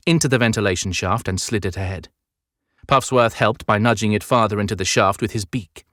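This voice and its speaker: A man's voice: mid-range voice, fairly bright tone, dry recording, clean studio sound. A man reading dramatic scripted narration as an audiobook narrator. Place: dry recording studio.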